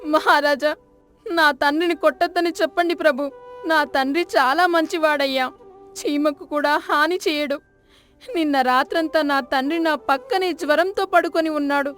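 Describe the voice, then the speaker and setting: A woman's voice: high voice, moderate pace, fairly bright tone, soft background music. A woman playing a poor man's devoted young daughter, imploring in a folk tale drama. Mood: desperate pleading. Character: poor sculptor's pleading, devoted little daughter.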